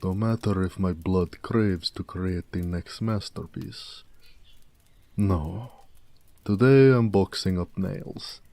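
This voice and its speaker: A man playing a big, muscular orc blacksmith in an intimate audio drama, proud, gentle and affectionate, with courteous, slightly formal speech. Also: low voice, creaky, dry recording, full character voice. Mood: resigned. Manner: unhurried, soft-spoken, courteous, slightly formal. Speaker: voice actor.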